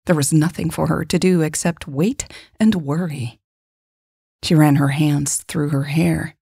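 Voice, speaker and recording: A woman's voice: deep voice, measured pace, gravelly, warm tone, breathy edge, no echo, studio quality. A woman hosting a podcast and reading scripted fiction as its narrator. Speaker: podcast host and author narrating her story.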